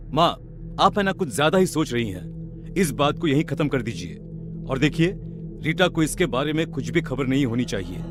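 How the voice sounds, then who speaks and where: Man playing a lively, impatient grown son. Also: on the high side. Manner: scoffing, insistent. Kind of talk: animated family story acting.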